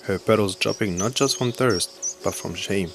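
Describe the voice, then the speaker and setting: A man's voice: fairly low pitch, normal speed, slight background noise. A man reading scripted narration for a children's bedtime story. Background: music with birdsong.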